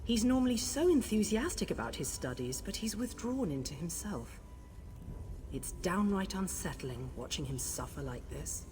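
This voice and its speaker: An actress playing a composed, scholarly woman who leads an expedition in a fantasy game, speaking in a measured, articulate and earnest way. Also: on the low side, very animated, background noise. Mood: worried. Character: scholarly female expedition leader, composed and concerned. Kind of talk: scripted fantasy game dialogue.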